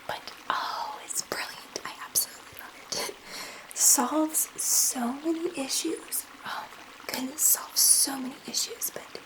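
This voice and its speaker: Woman playing a whispering, gentle alien scientist. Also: high-pitched, rough recording. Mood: proud delight. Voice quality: soft, breathy whisper. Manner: unhurried, reassuring, hesitant, murmuring to one listener. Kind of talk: ASMR roleplay, whispered.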